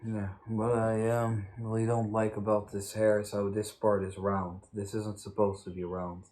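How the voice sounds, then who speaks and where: A man chatting casually as a vlog host, telling stories in a halting, stammering, joking way. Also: lowish voice, little pitch movement, darkish tone. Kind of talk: casual rambling storytime chat. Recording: noisy amateur vlog recording.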